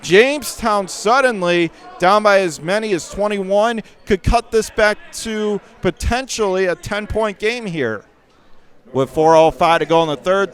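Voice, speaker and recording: Man, Western New York accent, high-pitched voice, live high school basketball play-by-play, bursts into shouts. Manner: rapid calls that surge to excited shouts on big plays. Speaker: radio play-by-play announcer.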